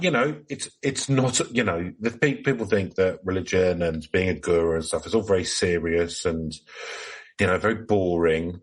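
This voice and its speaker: Male co-host, deep and rough-edged husky voice, deadpan comic chat. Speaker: podcast co-host. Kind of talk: casual comic banter.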